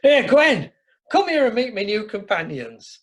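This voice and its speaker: An actor playing a boastful, jovial older husband with a Yorkshire accent, hearty and repetitive, in an amateur radio comedy. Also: male voice, high voice, sing-song, unhurried, exaggerated voice. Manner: hearty, repeats himself.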